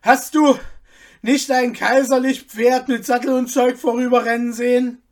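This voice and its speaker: A reader voicing a breathless, commanding chief equerry who calls out high and loud in a storybook reading. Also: sing-song, slow, full character voice. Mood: urgent.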